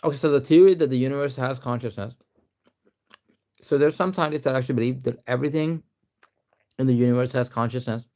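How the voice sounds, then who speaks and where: A man's voice: mid-range voice, fast talker, pauses often, warm tone. A young man from Pakistan with a Pakistani accent, chatting casually on a live stream, rambling and reading answers aloud. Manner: rambling, reads answers aloud, says "to be honest" a lot.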